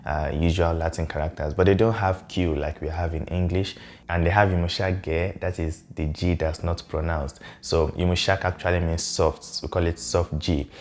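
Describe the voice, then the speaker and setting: A young man in his twenties whose first language is Yoruba, explaining things to camera in a relaxed, friendly way and slowing down to spell out words. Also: low-pitched, slight background noise. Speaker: language vlogger. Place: small indoor room. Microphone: lapel mic.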